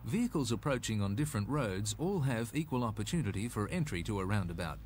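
A man's voice: lowish voice, very animated, fast, a little mellow. Australian male educational film narrator, noisy soundtrack. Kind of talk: scripted narration, 1980s road safety film.

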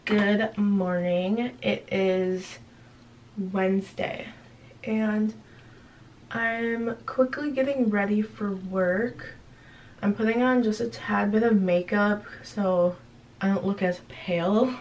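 A young woman talking in a slow, easygoing way, close to her phone camera in a small apartment. She chats casually about her day and often lets her voice trail off softly. A faint room hum sits behind her. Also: medium pitch, low-quality recording. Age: young adult. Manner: chatty, relaxed, trails off softly at times. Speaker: college student vlogger. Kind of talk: casual day-in-the-life vlog. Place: small apartment and office, indoors. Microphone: phone camera held close.

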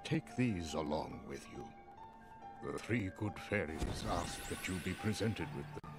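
An actor voicing a stern, wise old wizard master in a video game, speaking formally and gravely in measured phrases. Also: male voice, low-pitched, lively intonation, loud music behind the voice. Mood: solemn. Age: older. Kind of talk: video game cutscene acting.

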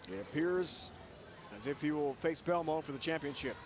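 Terse play-by-play bowling caller. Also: male voice, a little high, expressive, normal speed. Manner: clipped, terse calls of each shot. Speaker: play-by-play commentator. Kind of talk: sports play-by-play commentary. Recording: TV broadcast with arena noise behind.

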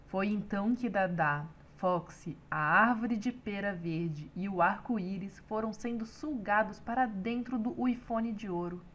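A woman's voice: medium pitch, a little slow, few pauses, lo-fi sound, noisy background. A woman reading scripted storytelling narration for a children's cartoon.

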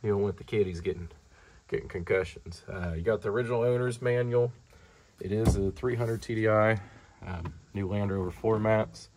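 This is a man with a low-pitched voice that often drops into a gravelly fry. He talks like a dealer showing off a vehicle on camera, informal and unscripted. His delivery is matter-of-fact and rambles a little from one point to the next. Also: moderate pace, darkish tone, a little hiss or hum. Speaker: car dealer presenting a vehicle. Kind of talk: casual off-the-cuff sales walkaround. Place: large indoor car showroom. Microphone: handheld camera, speaker behind it.

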